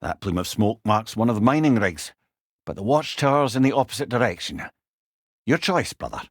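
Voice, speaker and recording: A man's voice: medium pitch, expressive, normal speed, no echo, studio quality. A man with a Scottish accent playing a witty, learned older companion, a talking head in a video game, wry and eloquent with old-fashioned wording. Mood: wry, advising. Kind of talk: video game character dialogue.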